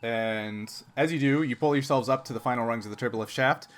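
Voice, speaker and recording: Guy game mastering, medium pitch, chatty off-the-cuff narration, lots of room noise behind him. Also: fairly bright tone. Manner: conversational, quick to laugh. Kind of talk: casual improvised tabletop game narration. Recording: noisy room recording with background ambience.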